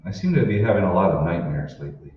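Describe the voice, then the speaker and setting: A bewildered everyman played by a man in a vintage radio play, hesitant and irritable. His lowish voice swings up and down, heard from a distance in a very roomy space. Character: puzzled, irritable married office man in sci-fi radio play. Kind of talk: old-time radio drama acting. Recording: roomy, muffled, distant stage recording with noise.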